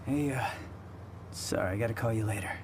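A man's voice: fairly low pitch, brisk, background noise, close to an everyday voice. A man playing an irritable, defensive young demon hunter in a video game, talking bluntly. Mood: casual. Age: young adult. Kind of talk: video game cutscene acting.

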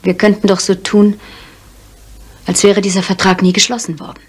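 An actress playing a controlled, determined young daughter in a 1970s radio crime drama, speaking tersely in a husky voice. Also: female voice, mid-range voice, flat delivery, moderate pace, lightly acted.

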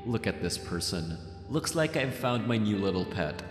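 Man acting low-voiced, possessive mafia boss. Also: very animated, soft background music. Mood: smitten, musing. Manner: slow teasing, sinister chuckles, theatrical. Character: possessive, menacing, playful male mafia boss. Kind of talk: ASMR roleplay acting.

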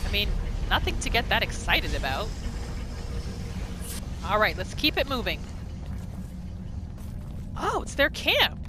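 Slow-paced, lively woman commenting on a game. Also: mid-range voice, background noise. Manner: exclamatory, thinking aloud, self-questioning. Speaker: gamer narrating a roleplay playthrough. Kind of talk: reactive gameplay commentary.

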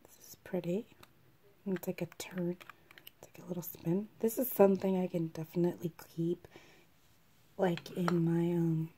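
A woman with a froggy, hoarse voice from a cold, chatting casually as she unboxes beauty products at her vanity table, often half-whispering and clearing her throat. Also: fairly low pitch, level tone, moderate pace, pauses often, dark tone, slight background noise. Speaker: beauty vlogger. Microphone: camera over the table, hands only in view.

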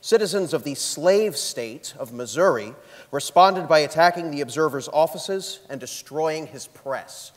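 Man narrating history onstage, slightly high voice, long theatre reverb. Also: lively intonation, a little slow. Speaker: stage narrator. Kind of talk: scripted dramatic narration. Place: large theatre stage. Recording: echoing theatre stage.

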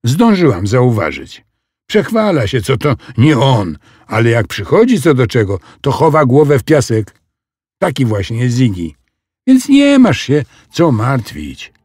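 A man's voice: mid-range voice, huge pitch swings, darkish tone, breathy edge, no echo, clean studio sound, exaggerated voice. A man voicing a sensible young schoolgirl with an emphatic, chiding manner in a children's audio story. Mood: reassuring. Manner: emphatic, drawn-out questions.

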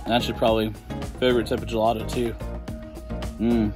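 A man's voice: lowish voice, flat delivery, quick pace, music in the background. A man in his thirties from southern California, talking casually as a travel vlogger, rambling with lots of fillers, filmed at arm's length. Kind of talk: casual vlog narration. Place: outdoors, hotel room and parked van. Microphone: handheld camera at arm's length.

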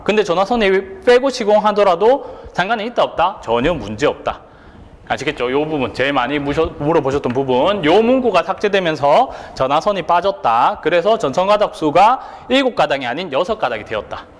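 Lively high-pitched man teaching, reverberant. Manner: asks rhetorical questions and answers them himself; keeps checking in with the listener. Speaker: exam prep instructor. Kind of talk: online lecture.

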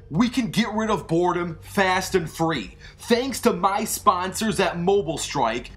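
An energetic online video host delivering scripted narration for an animated series. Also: medium pitch. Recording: music under the voice. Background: music.

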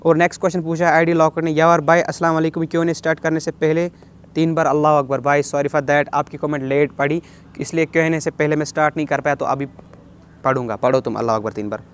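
A man's voice: on the high side, steady tone, fast, a little hiss or hum. A man in his twenties with a Kashmiri accent chats casually, reading out viewer questions and answering them with some English phrases mixed in. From Kashmir, India. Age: 20s. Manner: chatty, reads questions aloud then answers, slips English phrases in. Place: outdoors on a rooftop.

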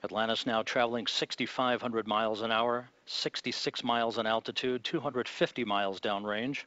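A man giving live launch commentary from mission control, in a measured, calm announcer delivery. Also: mid-range voice, no room sound, dull audio.

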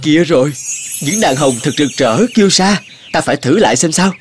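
Vain high-voiced butterfly bachelor, acted by a man. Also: brisk, faint music behind, natural voice. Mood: picky wooing. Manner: boastful, pompous, theatrical flattery and complaint. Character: vain, picky male butterfly bachelor seeking a flower bride. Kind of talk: cartoon character acting.

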